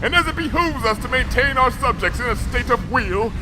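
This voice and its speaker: A man's voice: extremely high pitch, expressive, brisk, a crowd in the background, noisy background, voice pushed up. A man voicing a regal princess of the night who speaks in thundering, formal old-fashioned speech. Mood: booming regal. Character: booming archaic princess of the night, female.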